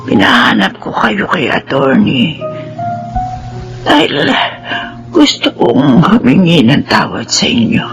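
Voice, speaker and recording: An actress playing a dying, remorseful elderly grandmother in a radio drama, speaking weakly and haltingly with tearful pleading. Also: rumbling low, wildly swooping pitch, vocal fry, music in the background, exaggerated voice. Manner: slow, weak, halting and tearful.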